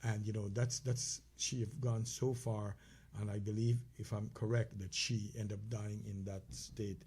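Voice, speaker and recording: A man's voice: fairly low pitch, level tone, unhurried, darkish tone, a little hiss or hum. A man with a Caribbean accent co-hosting a casual health talk show, speaking conversationally with many fillers and restarts. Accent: Caribbean English.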